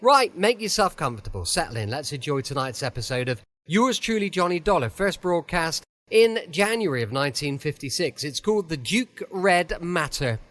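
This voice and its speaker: British man, lively chatty podcast host. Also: a little high, normal speed, few pauses. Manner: courteous, conversational. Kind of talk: relaxed, chatty show introduction.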